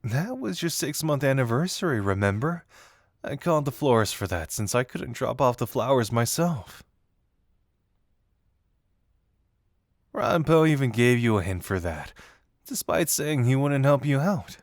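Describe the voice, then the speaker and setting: Flirty, self-deprecating male detective, played by a man, for romantic roleplay audio. Expressive pitch, hesitant and sincere, dry intimate sound. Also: normal speed, no echo. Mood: playful reasoning. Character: flirty, self-deprecating detective confessing shyly as secret admirer.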